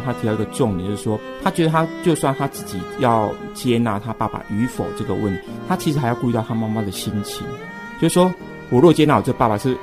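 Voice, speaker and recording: A man with a Taiwanese Mandarin accent hosting a conversational radio discussion, talkative and reasoning aloud. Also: medium pitch. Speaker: radio host.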